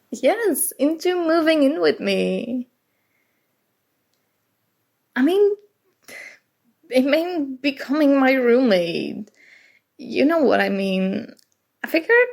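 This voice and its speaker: A woman playing a shy, awkward college-age roommate in an intimate ASMR roleplay, speaking haltingly and nervously. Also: high-pitched, gravelly, exaggerated voice. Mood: flustered, relieved, then sheepish, apologetic. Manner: hesitant, halting, sighing.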